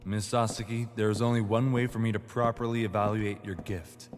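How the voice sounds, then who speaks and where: A man playing a composed male evaluator in his twenties in an audio drama, speaking in formal, measured, polite sentences. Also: on the low side, steady tone, lightly acted. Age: 20s. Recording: long hall reverb, noisy hum.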